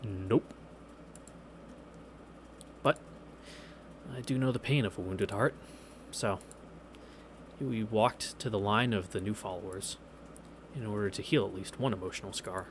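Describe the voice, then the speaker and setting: A man's voice: fairly low pitch, a little slow, long pauses, slight background noise. A man hosting a visual novel read-through, reading narration aloud with wry, casual asides over game music.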